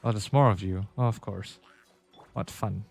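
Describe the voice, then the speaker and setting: A man with a low, mellow voice talks casually through a video game as he plays. He reads on-screen text aloud, tripping over words here and there, while soft music plays behind him. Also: fairly even intonation, moderate pace, gravelly. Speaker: livestreamer.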